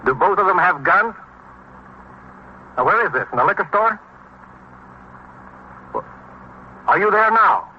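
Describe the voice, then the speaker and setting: An American man playing a brisk, dutiful police desk sergeant in a 1950s radio drama. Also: high-pitched, lively intonation. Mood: urgent, questioning. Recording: muffled, hissy vintage radio recording.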